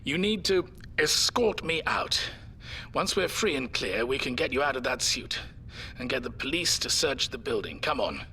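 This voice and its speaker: An actor playing a wry, plucky old grandfather in an audio drama, dry and gently defiant in manner. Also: mid-range voice, animated, normal speed, slight background noise. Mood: confused, urgent. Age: older.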